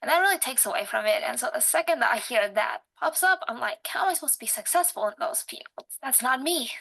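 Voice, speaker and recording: A young woman with a quiet voice, talking casually about herself in a hesitant, slightly awkward way. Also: on the high side, lively intonation, quick pace, creaky, low-quality recording. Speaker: student participant. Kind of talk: casual personal talk.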